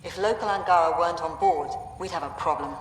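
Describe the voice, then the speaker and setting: A woman's voice: on the low side, fast talker, lightly acted. An actress playing a brusque, pragmatic female colony director in a video game, blunt and businesslike. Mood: blunt, wary. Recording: echoey hall, distant, faint background noise.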